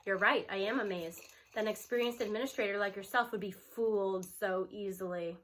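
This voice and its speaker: A woman's voice: mid-range voice, a little fast, a bit bright, pitched below the performer's own voice, lightly acted, rough recording. A woman voicing a cranky, sarcastic male school superintendent in a storybook, with a dry, dismissive, sneering manner. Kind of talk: storybook character voice.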